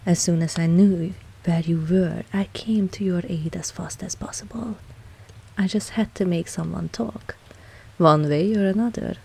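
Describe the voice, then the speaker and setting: A woman playing a dominant, possessive vampire mistress in an intimate ASMR audio roleplay, cool and seductive, speaking deliberately and often dropping into a whisper. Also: lowish voice, creaky, dark tone, slightly rough, full character voice. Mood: cool, matter-of-fact. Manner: slow, deliberate, commanding, teasing.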